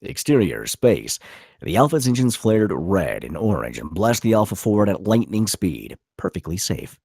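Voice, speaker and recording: A man's voice: on the low side, sing-song, moderate pace, darkish tone, breathy edge, no echo, clean studio sound. A man narrating stage directions at a live screenplay table read.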